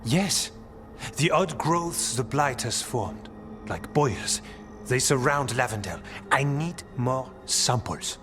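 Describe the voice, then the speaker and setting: An earnest scholar-warrior from a fantasy game. He speaks at a medium pitch, measured and modest, with a thoughtful hesitance. Faint music sits behind him. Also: animated. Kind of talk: video game voice acting.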